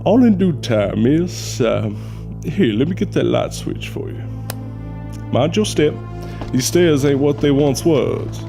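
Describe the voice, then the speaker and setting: A courtly, crotchety Southern mortician drawls slowly with a creaky edge over clear background music. He sounds folksy and a little hammy, as in a small-town gothic radio play. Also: fairly high pitch, animated, heavily acted. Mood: courtly, reassuring. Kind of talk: audio drama acting.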